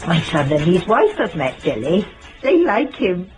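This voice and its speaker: A woman's voice: lowish voice, sing-song, unhurried, over music. An actress playing a fond, plain-speaking grandmother in a naturalistic radio drama. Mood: fond, frank. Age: older.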